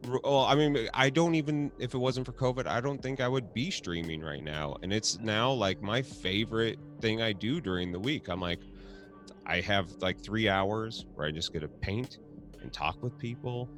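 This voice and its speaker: A man's voice: on the low side, moderate pace, fairly bright tone, soft background music. A man hosting a casual video chat, laid-back and laughing often, swearing now and then. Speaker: host.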